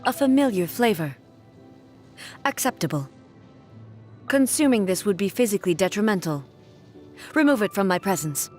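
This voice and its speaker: A woman playing a stern, solemn warrior ruler in a video game, speaking in formal, measured, stately phrases. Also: medium pitch, lively intonation, darkish tone, faint music behind, lightly acted. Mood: cold, curt. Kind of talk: scripted video game character voice lines.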